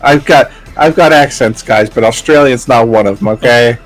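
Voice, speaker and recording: A man's voice: medium pitch, normal speed, soft background music. An American man co-hosting a podcast with casual, joking banter and an informal delivery. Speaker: podcast co-host.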